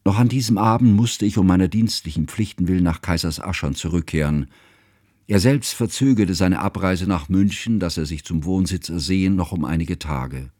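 An actor playing a sober, elegiac narrator who recalls a friend in a German radio drama, measured and precise in delivery. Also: male voice, deep voice, a little mellow, no echo, clean studio sound. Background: instrumental music.

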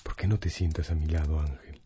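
An actor in a radio drama playing a suave, seductive gentleman, tender and smooth-talking with a former lover. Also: extremely low pitch, steady tone, fairly quick.

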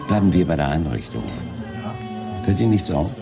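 Very deep-voiced shrewd police inspector. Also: male voice, expressive, a little fast. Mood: musing, authoritative. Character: seasoned male police chief inspector, shrewd, in command. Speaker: actor in a crime radio play. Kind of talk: 1980s German crime radio drama acting. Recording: studio radio play with music behind.

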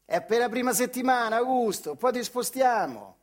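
A man's voice: extremely high pitch, lively intonation, normal speed, voice pushed up. A man voicing a persuasive, blustering factory foreman in stage storytelling, with colloquial, emphatic speech. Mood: cajoling.

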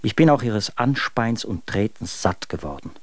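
Embittered husband for literary radio drama: low register, scornful, very dry recording. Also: male voice, animated, moderate pace, no room sound. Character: bitter, contemptuous husband writing letters about his wife. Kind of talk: dramatic reading of old letters in a radio play.

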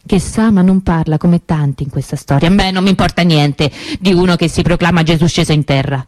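An actress playing the grieving, bitter sister of a kidnapped girl in a radio monologue, measured and emphatic. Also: on the low side, darkish tone, dry recording, clean studio sound, lightly acted. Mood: bitter, resolute.

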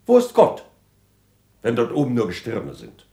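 Anxious, creaky-voiced learned man. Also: huge pitch swings, warm tone. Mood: urgent, reproachful. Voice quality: creaky low voice. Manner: grave and deliberate, flaring into agitated outbursts. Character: anxious, cautious learned man, a fearful loyal friend. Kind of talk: 1960s German radio drama acting.

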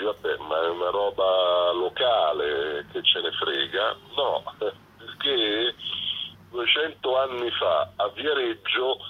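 A man's voice: lowish voice, breathy edge. A man giving a conversational, explanatory radio interview as a festival director, over a phone line. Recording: slightly muffled phone line.

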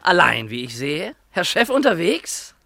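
A sly, superstitious village quack in a radio play. His voice swings widely in pitch, full of pious, knowing insinuation. The recording is dry and close. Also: sing-song, unhurried, gravelly, pushed and bright, dry recording, heavily acted. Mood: insinuating. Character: sly, superstitious village quack dispensing dark hints and charms. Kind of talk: German radio play acting.

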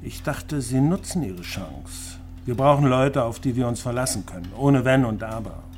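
Stern male official for radio drama, acted by a man, coldly condescending, measured delivery, medium pitch, music underneath. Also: animated, darkish tone, lightly acted. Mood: cold disappointment. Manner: measured, patronizing, drawn-out addresses. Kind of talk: radio crime drama acting.

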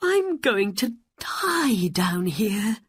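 A woman's voice: medium pitch, huge pitch swings, slow, husky, voice pushed up, exaggerated voice. A woman voicing an earnest, anxious young boy in a storybook, with plaintive, emphatic delivery in simple phrases. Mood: desperate. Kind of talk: storybook character voice.

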